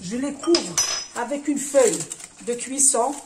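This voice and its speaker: A woman's voice: fairly high pitch, lively intonation, measured pace, very noisy. A Kabyle-speaking woman hosts a home cooking tutorial, switching between French and Arabic with many hesitant fillers. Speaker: cooking channel host.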